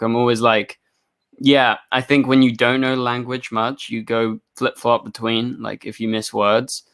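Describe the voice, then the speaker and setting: Host, man, mid-pitched, relaxed, reads viewer comments and chats loosely. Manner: easygoing, quick agreeing interjections. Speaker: show host reading super chats. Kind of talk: casual podcast chat.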